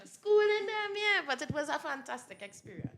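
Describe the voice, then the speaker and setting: A Trinidadian woman chats casually as an interview guest. Her voice is high and very bright, with a sharp edge. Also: very animated, moderate pace, gravelly. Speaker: interviewed member of a performing group. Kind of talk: casual chat.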